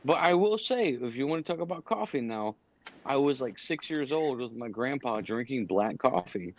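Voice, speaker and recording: A man in his thirties, a podcast guest, telling stories in a relaxed, rambling way. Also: medium pitch, normal speed. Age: 30s. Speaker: guest, cigar specialist. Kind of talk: casual storytelling chat. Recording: dull muffled audio.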